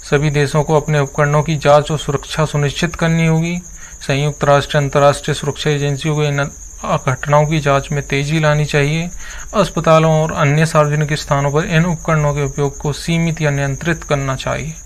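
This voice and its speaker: A man's voice: a little high, level tone, brisk. A man presenting scripted news as a narrator, with a formal, earnest delivery.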